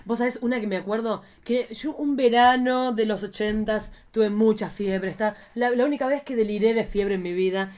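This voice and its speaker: A woman's voice: fairly high pitch, moderate pace, hardly any pauses, darkish tone. A woman with an Argentine accent co-hosting a podcast in casual, playful chat, campy and exclamatory, with plenty of slang. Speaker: podcast co-host. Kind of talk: casual, playful chat about cult cinema.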